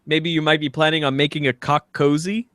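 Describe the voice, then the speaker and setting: Guy podcast host, kinda high voice, jokey chat, stammers a bit, really dry close sound. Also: little pitch movement, brisk, a bit bright, dry recording. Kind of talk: casual comedic banter.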